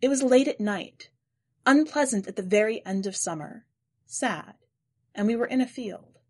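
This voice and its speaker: A woman reading scripted literary narration in a measured, deliberate way. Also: fairly high pitch. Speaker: podcast editor and story reader.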